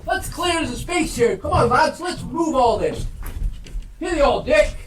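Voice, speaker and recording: A man's voice: extremely high pitch, big pitch swings, unhurried, a bit bright, exaggerated voice. A man on stage playing a jovial, hearty old warehouse master, calling out in exclamations. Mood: jolly, bustling. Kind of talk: stage play acting. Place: theatre stage. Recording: roomy live stage, distant mic, faint noise.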